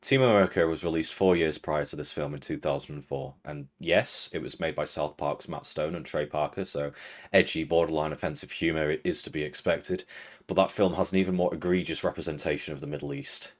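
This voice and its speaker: A young man with a British accent, his voice sitting very low. He reads a prepared critique as host, steady, earnest and dry in tone. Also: brisk. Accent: British English. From Britain. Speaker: video essay host. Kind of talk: scripted critical commentary.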